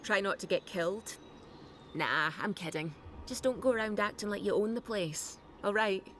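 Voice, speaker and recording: An actress playing a blunt, guarded smuggler in a fantasy video game, with a dry, sardonic way of talking. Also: female voice, medium pitch, normal speed, a bit bright, a little hiss or hum, close to an everyday voice. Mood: guarded, teasing. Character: wry, blunt smuggler woman with a soft heart.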